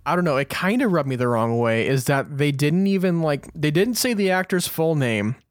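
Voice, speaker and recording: A man's voice: a little high, expressive, normal speed, no echo. A man co-hosting a fan podcast, speaking casually in an earnest, conversational way. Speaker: podcast co-host.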